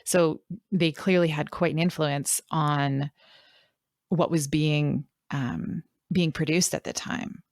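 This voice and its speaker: A woman hosting a podcast in casual discussion, thinking aloud with pauses as she forms her points. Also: low-pitched, fairly even intonation, darkish tone, dry recording, clean studio sound. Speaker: podcast host.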